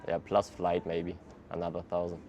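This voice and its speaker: A man's voice: sits low, normal speed, highs cut off, slight background noise. A young man with a German accent answering interview questions in English in an easygoing way, laughing often. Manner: relaxed, laughs often, loose non-native phrasing. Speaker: interviewee, traveler. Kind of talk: casual street interview. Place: outdoors in a city.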